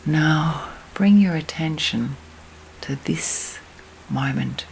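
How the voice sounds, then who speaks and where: A woman leads a guided sleep meditation in a soft, breathy, near-whispered voice, soothing, with long pauses between phrases. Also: low-pitched, low-quality recording. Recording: steady storm and rain noise. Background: rain and storm.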